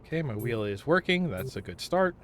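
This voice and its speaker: A man chatting casually on a gaming livestream, thinking aloud in a halting way. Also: medium pitch, sing-song, fairly bright tone, slight background noise. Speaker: game livestreamer.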